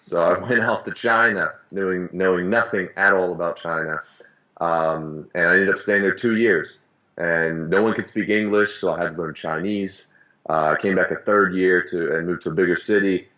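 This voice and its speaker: Deep-voiced American man, lo-fi. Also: fairly even intonation, normal speed, bright, forceful tone. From United States. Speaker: interview guest. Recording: slightly dull, lo-fi.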